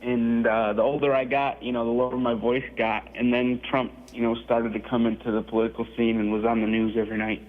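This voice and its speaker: A man calling in to a radio show, chatty and laughing often, his voice a little gravelly today. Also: mid-range voice, flat delivery, fairly quick, few pauses, narrow, tinny audio. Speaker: radio guest, impressionist. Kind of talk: casual phone chat. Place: remote call from Florida.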